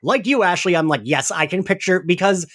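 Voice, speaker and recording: Animated, chatty male podcast host. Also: sits high, fairly quick. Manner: giggly, filler-heavy, rambling. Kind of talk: casual chatty recap discussion.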